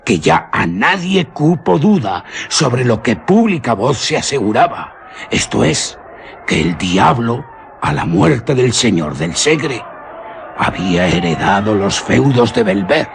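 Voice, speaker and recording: A man narrating a suspense radio story in a solemn, deliberate manner. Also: mid-range voice, sing-song, gravelly, slight background noise.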